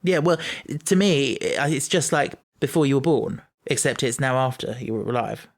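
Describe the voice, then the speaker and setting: A man of middle pitch co-hosting a relaxed podcast conversation. He reasons things out as he goes, trailing off, restarting and circling back, in an unhurried, chatty way. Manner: thinks aloud, stumbling, many restarts. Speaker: podcast co-host. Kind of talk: casual chat.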